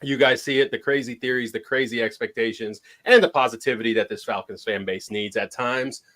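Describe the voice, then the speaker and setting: Young male co-host, easygoing sports talk, mid-pitched, unhurried. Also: fairly even intonation, fairly bright tone. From half Mexican, half Persian heritage. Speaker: podcast co-host. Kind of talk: casual sports chat.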